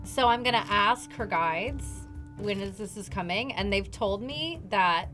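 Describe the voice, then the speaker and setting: A woman's voice: medium pitch, animated, measured pace, music in the background. A middle-aged woman talking casually and animatedly as a tarot card reader, giving a reading in a studio. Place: dark indoor studio, seated at a table.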